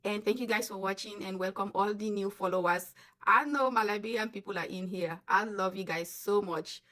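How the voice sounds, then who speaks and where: Young Sierra Leonean woman, casual vlogger, emphatic and chatty, mid-pitched voice. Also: steady tone, moderate pace, fairly bright tone. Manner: chatty, emphatic, addresses viewers as guys. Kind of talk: casual storytelling to camera.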